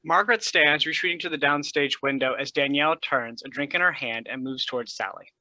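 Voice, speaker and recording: A man's voice: on the high side, a little fast, sharp tone, no room sound. A man reading stage directions for a play as scripted narration.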